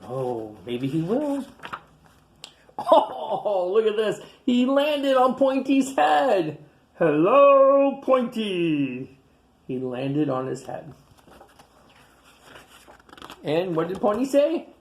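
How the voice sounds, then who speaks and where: A woman reading a picture book aloud to children, animated, gasping and exclaiming at the pictures. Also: mid-range voice, slow. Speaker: storyteller.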